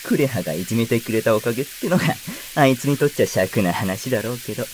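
A man's voice: mid-range voice, animated, fast talker, noisy background, lightly acted. An actor playing a sleazy, two-faced ladies' man with a flippant, coaxing manner in an audio drama. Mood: smug.

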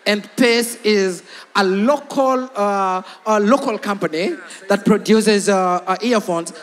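A man with a Kenyan accent, hosting live comedy banter in a loud, teasing way on a stage microphone. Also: high-pitched, slow. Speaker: comedy show host. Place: large night-time open-air stage show. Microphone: handheld microphone. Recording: hall reverb, music behind, live stage PA.

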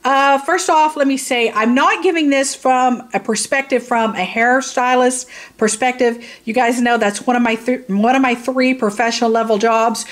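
Woman, fairly high voice, tired. Unscripted reviewer, blunt, informal, circles back to repeat points. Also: steady tone, moderate pace. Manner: rambling, repeats herself for emphasis. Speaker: product reviewer and hairstylist. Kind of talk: casual, unscripted product review.

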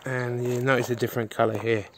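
A man's voice: medium pitch, little pitch movement, fast, slight background noise. A man in his thirties walking around a rural property, filming on a phone and chatting casually as he points things out. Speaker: vlogger giving a property tour. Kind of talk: casual walkthrough narration. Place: outdoors on rural land, then inside a farmhouse. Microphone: phone camera held at arm's length.

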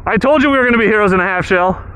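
A man's voice: high voice, racing. A man talking through his motorcycle ride outdoors, exclaiming and laughing as he goes, on a helmet mic. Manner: excited, exclaiming, laughing often. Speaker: motorcycle vlogger. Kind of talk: riding commentary vlog. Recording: noisy outdoor riding audio.

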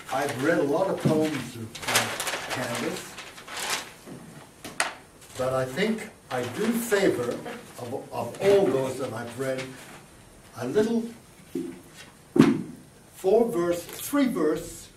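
Slow older man reciting poetry, roomy sound. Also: mid-range voice, expressive. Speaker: poetry reader. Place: small meeting room, indoors. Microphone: lectern mic, filmed by distant camera. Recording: roomy, distant mic, background noise.

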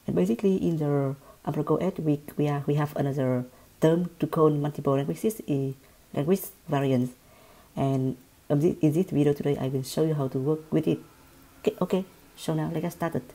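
A woman speaking English with a Vietnamese accent guides a screen-recorded software lesson. Her voice sits low and sounds soft and mellow. She talks in a halting way, often repeating words and restarting her sentences. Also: low-quality recording. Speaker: software tutorial presenter.

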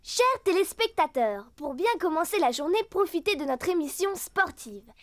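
A woman's voice: extremely high pitch, big pitch swings, normal speed. A woman voicing a bossy little girl who takes charge in a children's cartoon. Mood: cheerful announcing. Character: bright, bossy little blonde girl leading animal friends. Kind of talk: French children's cartoon dubbing. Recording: loud music over the voice.

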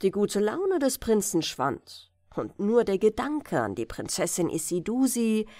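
A woman narrating a fairy tale as an expressive bedtime audiobook reading. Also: mid-range voice, unhurried, dry recording, clean studio sound.

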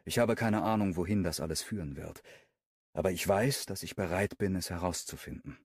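Male audiobook narrator, very low husky voice, calm first-person reading. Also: a little fast, dry recording, studio quality.

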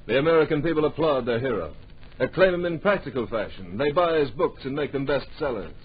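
An actor playing a dramatic, authoritative narrator in an old radio drama, telling the story in clipped present tense. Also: male voice, medium pitch, animated, fairly quick, breathy edge. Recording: muffled, noisy old radio recording.

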